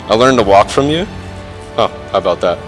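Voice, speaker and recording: Young male best man, lowish voice, halting informal wedding speech, dry self-deprecating humor, faint music behind. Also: expressive. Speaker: best man, groom's older brother. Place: wedding reception, speaking to guests.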